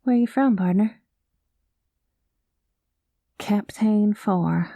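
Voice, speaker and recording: A woman voicing a kind, easygoing space cowgirl pilot in an ASMR roleplay, talking gently in a folksy cowboy manner. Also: mid-range voice, drawn-out words, creaky, no room sound, exaggerated voice. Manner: folksy drawling cowboy talk, calls listener partner; gentle and soothing.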